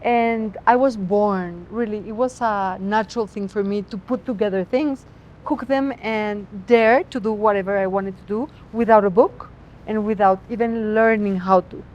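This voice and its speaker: A woman's voice: mid-range voice, steady tone, normal speed, a little mellow, dull audio, a little hiss or hum. A woman with a Mexican accent, native Spanish speaker, a chef talking expressively outdoors and sometimes searching for words. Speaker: chef, guest. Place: outdoors on a city street.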